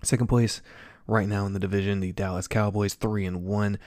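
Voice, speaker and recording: A man's voice: on the low side, little pitch movement, brisk, darkish tone, no echo, studio quality. A man hosting a solo sports podcast, reading through stats in a casual, matter-of-fact way and adding offhand opinions. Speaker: podcast host.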